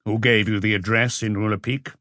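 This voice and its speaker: A man's voice: lowish voice, flat delivery, fairly quick, sharp tone, no room sound, studio quality. A man voicing a persistent police chief questioning suspects bluntly in audiobook dialogue. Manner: blunt, probing.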